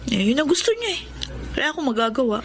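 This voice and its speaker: A woman's voice: high voice, very animated, fast talker, fairly bright tone, heavily acted. A Filipino woman acting in a radio drama as a hurt, stubborn young woman who sounds resigned.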